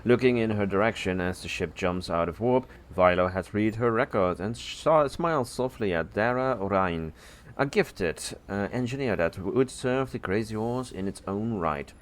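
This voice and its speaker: A man reading a scripted story as narrator, halting now and then and correcting his words. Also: fairly low pitch, animated. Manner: halting, stumbles over words and corrects himself.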